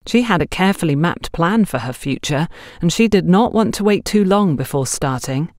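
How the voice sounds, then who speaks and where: A woman's voice: lowish voice, animated, normal speed, a little mellow, dry recording, studio quality. A woman reading a scripted mystery story aloud as an audiobook narrator.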